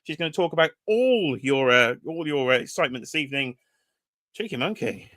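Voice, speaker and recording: Bright-voiced British man hosting a toy livestream. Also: fairly high pitch, animated, fairly quick, dry recording, studio quality. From United Kingdom. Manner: chatty, teasing, reads chat names aloud. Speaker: livestream host. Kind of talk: casual livestream chat.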